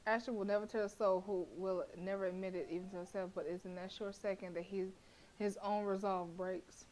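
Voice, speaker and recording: A young woman streaming a horror visual novel, reading the narration aloud and breaking into blunt, profane, animated reactions with frequent laughter. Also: mid-range voice, a little fast, a little hiss or hum, rough recording.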